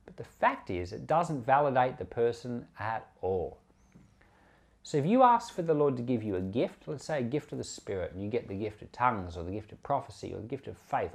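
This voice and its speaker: A man preaching an informal Bible commentary in an earnest, conversational way, repeating and rephrasing his points for emphasis. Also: mid-range voice. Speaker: preacher and Bible podcast host. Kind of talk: informal sermon commentary on scripture.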